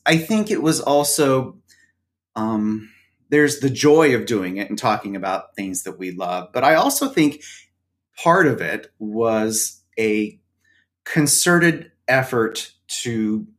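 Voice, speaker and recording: A man speaking as a podcast guest, explaining things at length in a wry, rambling way with many fillers. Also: on the low side. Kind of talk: casual interview chat.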